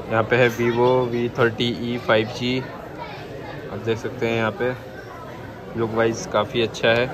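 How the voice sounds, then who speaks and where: A man tours a large indoor shopping mall with a handheld phone, reading out gadget prices one after another. His voice stays flat and even, with little rise or fall, at an ordinary middle pitch. The talk is casual, repetitive, and plain. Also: rough recording. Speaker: vlogger showing phone prices. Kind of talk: casual walk-through shop tour.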